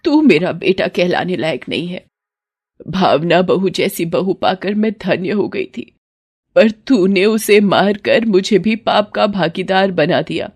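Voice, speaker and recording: A woman voicing a gentle, wronged elderly mother-in-law in a storybook tale, soft and pleading, with music behind. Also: lowish voice, animated, measured pace, breathy edge. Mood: shocked, grieving.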